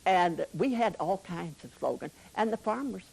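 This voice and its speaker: An older woman reminiscing casually in an interview, halting and pausing often. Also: on the low side, animated, gravelly, darkish tone, husky, low-quality recording. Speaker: interviewee sharing recollections.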